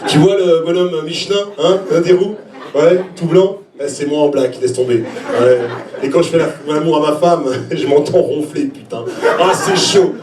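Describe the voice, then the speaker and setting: A man's voice: a little high, moderate pace, a crowd in the background. A man in his forties performing stand-up comedy on stage, exclaiming, acting out little scenes and talking straight to the audience. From Switzerland. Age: 40s. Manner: animated, exclamatory, acts out little scenes and reactions; talks directly to the audience. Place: small comedy venue open stage, indoors. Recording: echoey live room, distant mic.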